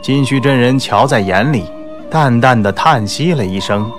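A man narrating a novel as a scripted audiobook, in a measured, literary storytelling manner. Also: mid-range voice. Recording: soft background music under the voice.

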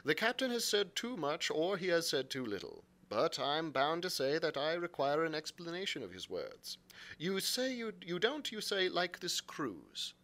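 A man voicing a shrewd, reasonable gentleman doctor in an adventure story, measured and probing. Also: fairly high pitch, big pitch swings, pitched above the performer's own voice. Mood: firm, questioning.

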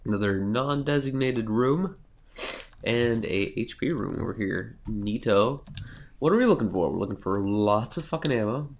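A man's voice: fairly low pitch, animated, moderate pace, gravelly. A young man with a cold, nasal and sniffly, giving casual, sarcastic let's play commentary and swearing now and then. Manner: sarcastic, self-mocking, swears.

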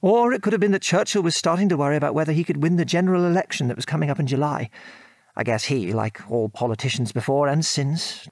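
A man plays a British war veteran father in an audio drama. His voice sits a little high for a man, recorded close and dry. He reminisces frankly and articulately, with old-fashioned phrasing and an aggrieved edge. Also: quick pace, no echo, natural voice. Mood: bitter, indignant. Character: former RAF bomber airman, reminiscing father, frank and aggrieved.

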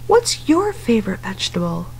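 A woman's voice: a little high, wildly swooping pitch, normal speed, a little mellow, slight background noise, low-quality recording. A woman in her thirties reading a picture book aloud to young children, instructing in simple phrases and putting questions to them. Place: indoors at home, living room couch.

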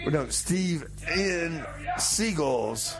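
A man playing a mopey, exasperated music-show host in improvised radio comedy, with a halting, plaintive delivery. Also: on the high side, expressive, gravelly, exaggerated voice, poor audio. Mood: subdued.

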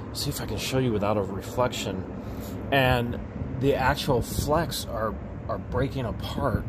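A man's voice: mid-range voice, background noise. A man hosting a casual unboxing vlog, exclaiming and repeating himself as he talks directly to viewers. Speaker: vlog host.

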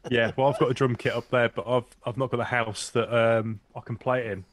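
A man in his thirties with a baritone voice, a music producer being interviewed over a video call, relaxed and self-deprecating. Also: a little fast, slight background noise. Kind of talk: casual interview chat. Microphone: studio microphone over video call.

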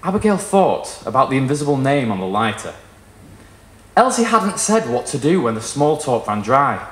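A young man giving an expressive, theatrical live reading of literary fiction. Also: medium pitch, moderate pace. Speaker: story reader. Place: small indoor performance room with wooden wall. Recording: roomy live venue sound.

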